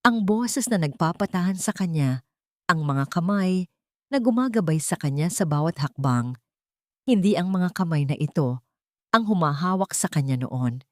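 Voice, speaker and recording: A woman with a Filipino accent narrating a dramatic story in a solemn, measured way, close to the microphone. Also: fairly low pitch, animated, dry recording.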